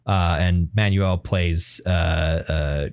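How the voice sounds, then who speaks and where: Low-voiced man in halting music talk. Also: steady tone, no echo, studio quality. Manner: halting, frequently searching for words. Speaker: podcast host. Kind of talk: casual music discussion.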